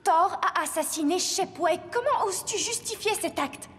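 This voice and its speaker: A woman voicing a solemn, loyal ally in an animated fantasy, grave and stately in manner. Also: very high voice, moderate pace. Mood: stern, urgent. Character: solemn, loyal female ally urging a chosen heir. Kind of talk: dubbed animated fantasy acting. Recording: long hall-like reverb.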